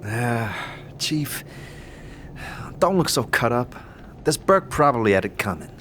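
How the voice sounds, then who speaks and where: A man's voice: medium pitch, big pitch swings, unhurried, fairly bright tone, slight background noise. A man voicing a callous, wisecracking talking skull, the sidekick in an audio drama. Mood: flippant.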